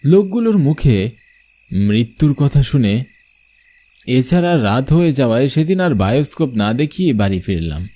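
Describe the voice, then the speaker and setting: A man playing a travelling bioscope showman, narrating an eerie cartoon story in the first person with expressive delivery. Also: mid-range voice, moderate pace, long pauses. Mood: storytelling. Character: travelling village bioscope showman telling his own eerie tale.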